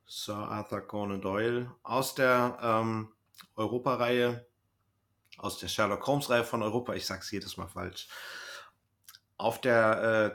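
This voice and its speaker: A man's voice: fairly low pitch, vocal fry, a bit bright. A man co-hosting a podcast, chatting casually as he reviews audio dramas. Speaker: podcast co-host; bus driver.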